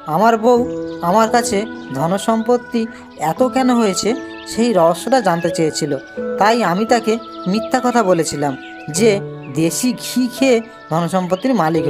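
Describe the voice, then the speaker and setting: A woman's voice: fairly high pitch, lively intonation, unhurried, long pauses, music in the background. A woman playing a simple village man in a cartoon, explaining in an earnest manner. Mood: earnest, pleading. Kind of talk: Bengali cartoon voice acting.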